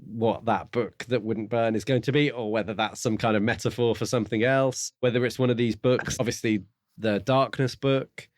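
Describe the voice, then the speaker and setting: A man co-hosting a podcast, talking through books in a casual, measured way as he thinks aloud. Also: mid-range voice, steady tone, dry recording, studio quality. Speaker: podcast co-host. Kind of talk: casual book discussion.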